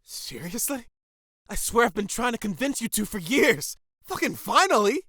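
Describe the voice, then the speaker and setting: A man's voice: extremely high pitch, sing-song, normal speed, pushed and bright, no echo, studio quality, exaggerated voice. An actor playing a passionate, blunt young male rebel leader in an audio drama. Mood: excited. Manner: impassioned, blunt, swearing.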